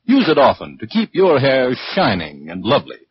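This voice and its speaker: A man's voice: mid-range voice, wildly swooping pitch, moderate pace. An American man announcing a scripted commercial as a 1940s radio announcer. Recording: muffled 1940s radio, faint hiss.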